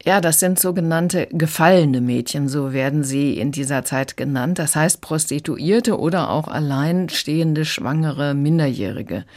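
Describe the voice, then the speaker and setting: A woman's voice: sits low, measured pace, hardly any pauses, darkish tone. A woman working as a book critic, giving a prepared review in a radio conversation.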